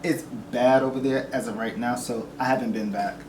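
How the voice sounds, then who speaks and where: A Venezuelan-born man in his forties, a podcast guest who answers in an easygoing, plain way at a middle pitch. He sits back from the mic in an echoey room, with clear background noise around him. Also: steady tone, fairly quick, recorded from a distance. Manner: easygoing, short affirming "yeah"s. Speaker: podcast guest, entertainment reporter. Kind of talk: casual interview answers.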